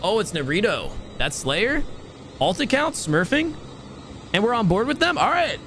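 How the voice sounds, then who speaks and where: A young man hosting and casting a live mobile game tournament, chatty and talking to his chat in a soothing, relaxed voice. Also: sits high, moderate pace, a little hiss or hum. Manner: chatty, talks to chat, restarts words. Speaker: tournament host and caster. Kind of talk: live stream esports commentary.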